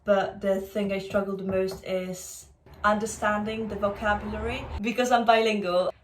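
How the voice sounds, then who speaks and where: A woman's voice: medium pitch, fairly even intonation, normal speed, a little hiss or hum. A young woman whose first language is Polish, a student co-host talking in an earnest, thoughtful way. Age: young adult. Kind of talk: casual chat. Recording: echoey room.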